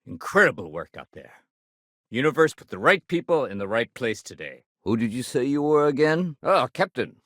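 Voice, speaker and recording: A man's voice: medium pitch, very animated, moderate pace, fairly bright tone, dry recording, clean studio sound. An actor playing a no-nonsense, dutiful male city security sergeant in a video game, with a clipped, official, wry delivery. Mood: businesslike.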